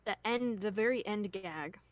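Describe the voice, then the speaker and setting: A woman talks informally as one of the hosts of a group discussion. Her voice sits at a middle pitch, and her delivery is conversational and unscripted. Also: normal speed, dry recording. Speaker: co-host and scriptwriter. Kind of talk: casual group chat.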